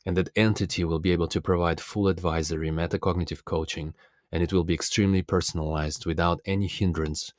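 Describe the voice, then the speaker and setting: A man whose first language is Russian speaks in a very low voice with little melodic movement. He delivers a philosophical self-help monologue, earnest and deliberate. The sound is dry and close, free of room reflections. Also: steady tone, no room sound. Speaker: self-development coach and speaker.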